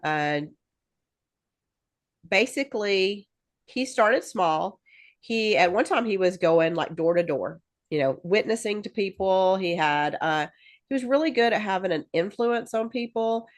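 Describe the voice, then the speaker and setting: A woman's voice: medium pitch, lively intonation, unhurried. A woman co-hosting a podcast in casual chat, rambling with lots of fillers and restarts and laughing easily. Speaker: podcast co-host.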